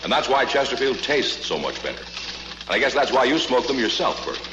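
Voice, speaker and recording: Emphatic 1950s pitchman, male, very animated intonation, echoing old broadcast sound. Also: fairly quick, noisy background. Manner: emphatic, polished pitchman delivery. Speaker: commercial announcer. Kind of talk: 1950s radio advertising. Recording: echoey old radio ad with music.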